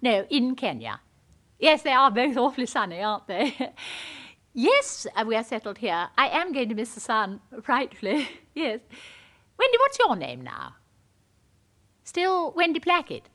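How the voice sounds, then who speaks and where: A woman's voice: a little high, sing-song, measured pace, fairly bright tone. Posh middle aged english lady, jolly awkward and gushy, voice leaping right up and down, comedy sketch. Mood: awkward, effusive. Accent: upper-class English. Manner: gushing, jolly, flustered, plummy. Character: gushing, awkward middle-aged upper-class English expatriate wife. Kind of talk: comic character monologue.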